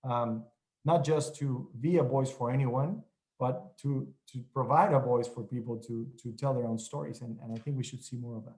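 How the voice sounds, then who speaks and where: A man with a Mexican accent, a journalist on an online panel, speaking in halting sentences full of restarts. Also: mid-range voice, a little mellow, poor audio. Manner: halting, many restarts and repeated words.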